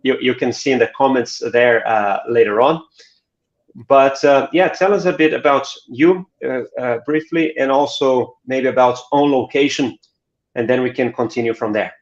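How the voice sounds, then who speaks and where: A man's voice: mid-range voice, moderate pace. A man hosting a live webinar over a video call, speaking hesitantly with frequent fillers and repeats. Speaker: host. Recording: dull echoey video call audio.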